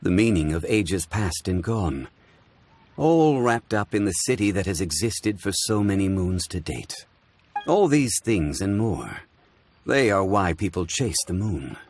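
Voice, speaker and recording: A man voicing a calm, wistful, dignified gentleman in a video game, with formal, poetic and deliberate speech. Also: low voice, full character voice.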